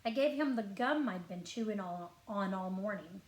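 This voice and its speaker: A woman reading a children's storybook aloud expressively, like a school librarian, with dramatic pauses and stretched words for emphasis. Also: medium pitch, animated, a little mellow. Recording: echoey, roomy sound.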